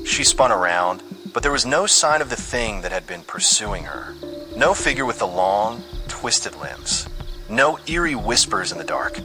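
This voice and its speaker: A man's voice: on the low side, low-quality recording. A man reading a scripted horror story with dramatic, suspenseful delivery. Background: music under the voice.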